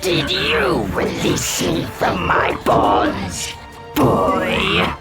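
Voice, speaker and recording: A woman playing a huge, menacing horned monster in an audio drama, speaking with imperious formality over loud music. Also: a bass voice, wildly swooping pitch, extremely slow, exaggerated voice.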